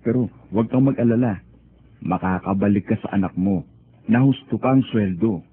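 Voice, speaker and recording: A man's voice: lowish voice, normal speed, slight background noise, close to an everyday voice. A man playing a reassuring Filipino friend who patiently explains things in a radio drama. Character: reassuring Filipino male friend giving practical advice. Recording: thin phone-like radio sound.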